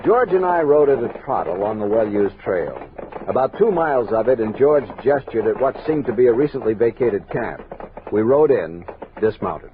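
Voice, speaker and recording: A man's voice: mid-range voice, expressive, narrow, tinny audio, noisy background, natural voice. An actor with an American accent playing a grave, commanding army captain who narrates his expedition journal in a radio drama. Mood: measured, reflective. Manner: measured, literary storytelling with crisp orders. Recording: band-limited 1960s studio radio recording with music.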